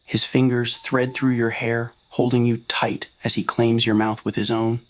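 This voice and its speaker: A man's voice: mid-range voice, level tone, moderate pace, rough recording. Man narrating a scripted romantic story. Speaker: narrator of fan fiction audio roleplay.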